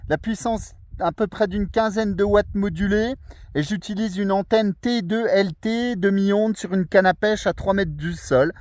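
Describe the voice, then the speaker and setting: High-pitched Frenchman chatting on the radio. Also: unhurried, darkish tone, no room sound. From France. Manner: friendly, courteous. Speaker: amateur radio operator. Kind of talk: casual radio contact chat. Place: outdoors on a small mountain summit.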